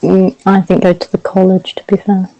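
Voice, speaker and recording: A woman's voice: medium pitch, completely flat delivery, moderate pace, no room sound, lo-fi sound. A woman playing in a tabletop game, chatty and casual, with what she calls a big voice. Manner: chatty, says she has a big loud voice. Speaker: player.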